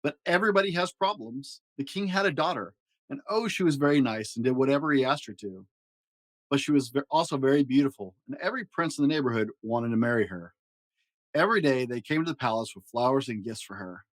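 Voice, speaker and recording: A man narrating a children's story aloud in an expressive, animated way with dramatic pauses. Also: mid-range voice, no room sound, rough recording. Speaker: podcast host reading a children's storybook aloud.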